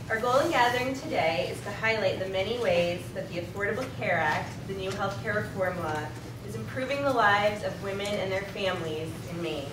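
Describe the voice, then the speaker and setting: A woman moderating an event who reads out prepared opening remarks and introduces the guest speaker. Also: medium pitch. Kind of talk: formal introduction speech. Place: large indoor event hall. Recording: echoey hall, distant mic, noisy.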